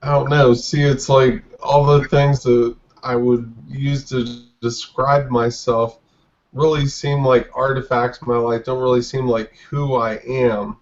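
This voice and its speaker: A man in his forties from the United States talking casually as an interview guest, in a halting, reflective way. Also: mid-range voice, level tone, highs cut off. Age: 40s. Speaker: podcast guest, artist.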